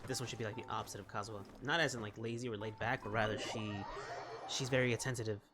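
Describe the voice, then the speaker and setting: A man giving casual let's-play commentary, rambling and reacting aloud in an excitable way. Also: fairly low pitch, quick pace. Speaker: gaming video host. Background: game music.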